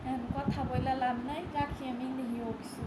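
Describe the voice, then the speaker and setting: Young girl vlogger chatting on a call, high voice, echoey n super noisy. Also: flat delivery, normal speed. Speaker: prank vlogger. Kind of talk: casual phone chat. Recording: very noisy, echoey room recording.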